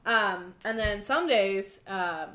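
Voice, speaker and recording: A woman's voice: mid-range voice, takes it slowly, bright, forceful tone, reverberant. A young woman in her twenties, chatting casually and self-mockingly as a podcast co-host, with many fillers. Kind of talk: casual chat.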